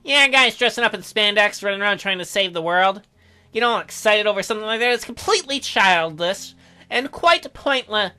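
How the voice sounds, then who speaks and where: Man as awkward young visual novel hero, skeptical and glib, raised bright voice with lively swings. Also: sits high, fairly quick, clean tone, soft background music, heavily acted. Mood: mocking. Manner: hesitant stammering mixed with glib mockery. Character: awkward, skeptical, teasing teenage guy protagonist.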